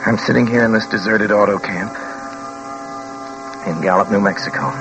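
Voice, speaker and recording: An actor playing a frightened young man traveler coming unraveled, halting and dramatic, in a 1940s radio play. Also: fairly low pitch, steady tone, lightly acted. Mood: haunted, brooding. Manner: dramatic, halting, repeating himself. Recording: noisy vintage 1940s radio recording.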